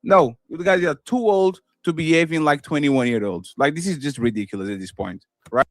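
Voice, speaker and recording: A man with a Portuguese accent giving his opinions on a podcast, animated, swinging between loud and soft and acting out quotes. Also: fairly high pitch, brisk, dry recording. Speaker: podcast co-host. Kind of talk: casual opinion talk.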